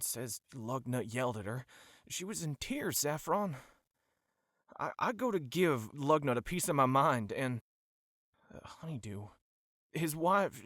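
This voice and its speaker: Troubled working pony fella, caring, halting, heavy-hearted, dry audio drama recording. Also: male voice, mid-range voice, fairly bright tone, no echo. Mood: shaken, grieving. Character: troubled, caring adult male pony worker.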